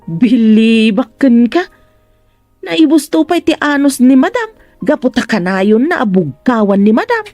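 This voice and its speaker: A doubtful, gossipy housemaid in a radio serial. Her high voice swings up and down in pitch, with music behind it. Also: very animated, unhurried, darkish tone, dry recording. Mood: curious, doubtful. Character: skeptical, curious female household co-worker. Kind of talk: radio drama acting.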